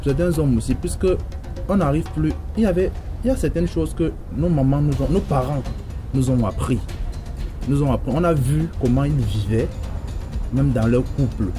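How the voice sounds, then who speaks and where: A man from Cameroon talks through a casual debate, emphatic and repetitive as he works toward his point. Music plays clearly beneath his voice. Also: mid-range voice, moderate pace. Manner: emphatic, repeats himself while searching for words. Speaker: debate guest.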